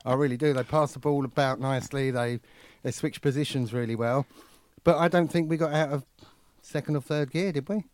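A man in his fifties chats on sports radio in an easy middle-pitched voice, trading dry, teasing jokes. The sound is dry and close to the mic. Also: fairly quick, few pauses, darkish tone, dry recording. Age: 50s. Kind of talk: casual sports radio chat.

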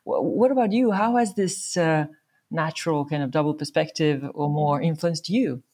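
A woman with a Swedish accent conducting a conversational interview, haltingly searching for her words. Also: low voice, mellow. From born in Serbia, raised in Sweden.

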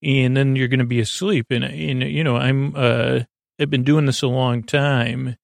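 A man with a creaky voice hosting a sleep podcast, meandering haltingly through a goofy, self-deprecating bedtime monologue. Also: medium pitch, fairly even intonation, no room sound, clean studio sound. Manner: meandering, halting, trails off and repeats himself. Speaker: podcast host. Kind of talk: rambling bedtime monologue for falling asleep.

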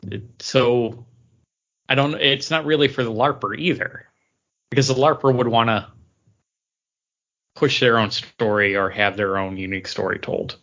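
Bright-voiced man on a lo-fi podcast. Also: mid-range voice, moderate pace, long pauses. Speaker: podcast co-host. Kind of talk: casual chat about theme park attractions.

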